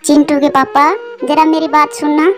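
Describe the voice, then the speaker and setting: A woman as a high-voiced nagging wife bird. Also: flat delivery, moderate pace, over music, close to an everyday voice. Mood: annoyed, scolding. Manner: scolding, complaining. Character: resentful, scolding sister-in-law bird, a nagging wife. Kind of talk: Hindi moral-story cartoon dialogue.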